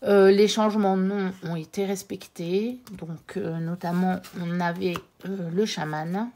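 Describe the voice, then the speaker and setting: A woman hosting her own channel, comparing two products in a casual, hesitant way with frequent filler pauses. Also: on the low side, steady tone, warm tone. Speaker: channel host reviewing tarot decks.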